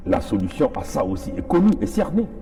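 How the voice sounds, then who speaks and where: A man's voice: medium pitch, very animated, quick pace, mellow. A man with a Congolese French accent giving an impassioned political address as an activist, emphatic and repeating phrases for effect. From Congo-Brazzaville.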